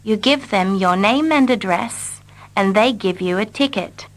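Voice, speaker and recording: A woman repeating short English dialogue lines slowly and carefully for learners. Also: medium pitch. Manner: carefully repeats each line. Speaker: English teacher. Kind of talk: slow scripted reading for learners.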